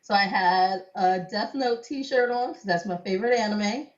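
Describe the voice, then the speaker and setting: A woman's voice: medium pitch, normal speed. Chatty young woman, dull lo-fi sound. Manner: chatty storyteller, laughs often, many "like"s. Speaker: cosplayer guest. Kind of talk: casual interview chat.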